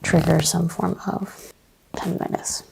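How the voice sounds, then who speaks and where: A soft-spoken woman playing a chiropractor in an ASMR roleplay, talking in a calm clinical way and often dropping to a whisper. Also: fairly low pitch, measured pace, gravelly, slight background noise, poor audio.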